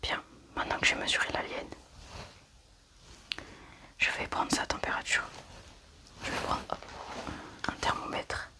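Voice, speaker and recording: A whispered ASMR voice in the role of a medical examiner. The delivery is soft and methodical, describing each step of the procedure in a hushed breath. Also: measured pace, long pauses. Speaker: ASMR roleplayer acting as an examiner doing an alien autopsy.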